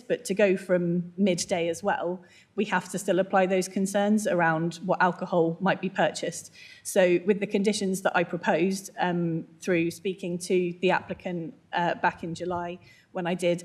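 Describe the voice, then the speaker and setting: A slightly croaky woman giving a measured formal statement as a police licensing officer at a council hearing, with frequent ums. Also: fairly low pitch, a little mellow. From England. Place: council meeting, remote or in chamber.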